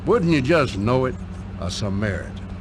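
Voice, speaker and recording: An actor playing a folksy, wry storyteller with a drawling Southern American accent, narrating a scripted tale. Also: male voice, medium pitch, very animated, normal speed, background noise, natural voice.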